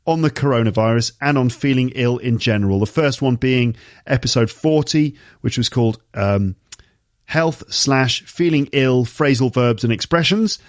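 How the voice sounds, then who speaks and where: A man with a touch of a cold explains words to learners in a relaxed, conversational podcast monologue. His pitch sits in an ordinary middle range. The recording is close and dry, with almost no room sound. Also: no echo. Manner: chatty, explains words slowly and repeats key terms. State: has a slight cold. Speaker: English teacher and podcast host.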